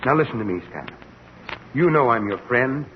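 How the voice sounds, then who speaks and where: An earnest, probing middle-aged doctor played by a man, solicitous and emphatic in vintage radio drama style. His delivery is highly expressive, heard in a dull recording with a long reverberant tail. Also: brisk, noisy background, natural voice. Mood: grave, persuasive. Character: earnest, insistent middle-aged male doctor, a concerned old friend. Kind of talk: old-time radio drama acting.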